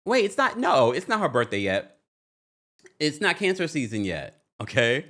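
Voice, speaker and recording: Male livestream host, casual and playful, highly animated delivery, exclamatory gossip commentary. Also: normal speed. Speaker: host of a reality TV recap show.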